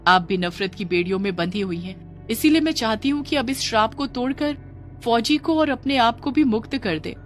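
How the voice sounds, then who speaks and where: Woman doing a kind brave girl in a kids cartoon, earnest n gentle, soft music behind. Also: on the high side, expressive, normal speed, voice pushed up, lightly acted. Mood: earnest appeal. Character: brave, compassionate girl named Lily. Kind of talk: children's cartoon story dialogue.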